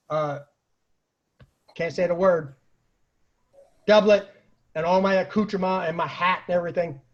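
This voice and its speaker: A man with a husky voice tells comic personal anecdotes in a casual, animated way, acting out the lines people said. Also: sits high. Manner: animated, acts out quoted lines, laughs at own stories. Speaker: storyteller, online show host. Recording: dull lo-fi home recording.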